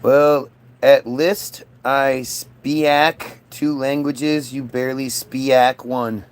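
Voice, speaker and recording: A man's voice: mid-range voice, steady tone, extremely slow, clear, resonant tone. A man in a casual, combative political rant, dismissive and sweary as he answers chat comments. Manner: frequent swearing.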